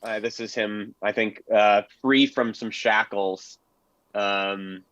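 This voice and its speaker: A man's voice: lowish voice, fairly even intonation, slow pace, a bit bright, no echo. A man speaking as a history panelist in an enthusiastic, explanatory way during a discussion. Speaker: panelist, film and radio historian. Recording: dull lo-fi audio, dry.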